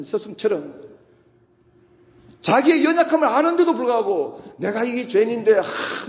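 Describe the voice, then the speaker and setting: A male pastor's husky voice rings out quite high, rising and falling in big sweeps as he preaches. He leans on repeated phrases and pointed questions to the congregation. The sound carries a long church-hall reverb. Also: lo-fi sound. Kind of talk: Sunday sermon.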